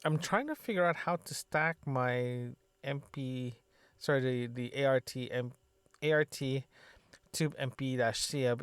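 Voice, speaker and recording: A man with a noticeably creaky, fry-laden voice, recorded close and very dry. He talks in a loose, casual podcast diary style, feeling his way through sentences and often doubling back to fix his wording. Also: medium pitch, dry recording. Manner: halting, circles back and self-corrects often. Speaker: podcaster, system designer. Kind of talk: casual solo audio diary, rambling chat.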